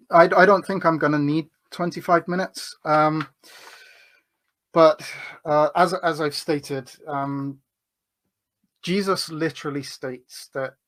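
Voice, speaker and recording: A man's voice: a little high, a bit bright. A man arguing in a debate, hesitant, with many filler words and restarts. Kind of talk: debate argument.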